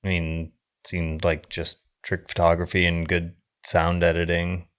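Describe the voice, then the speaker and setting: Male film podcast co-host: low-pitched, loose and rambling, thinks aloud in unfinished phrases. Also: monotone, a bit bright. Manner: halting, rambling, trailing off mid-thought. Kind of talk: casual film discussion.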